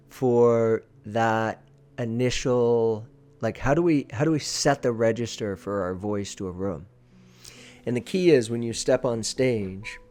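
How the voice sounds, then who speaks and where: A man giving a conversational, emphatic lesson as a presentation coach, shifting his volume for effect and often checking in with the listener. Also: mid-range voice. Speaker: presentation coach, video teacher. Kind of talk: conversational teaching talk to camera.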